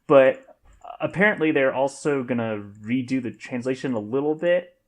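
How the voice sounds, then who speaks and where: A man speaks in an informal, conversational manner as a podcast co-host. His voice has an ordinary, middle pitch. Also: normal speed. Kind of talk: casual chat.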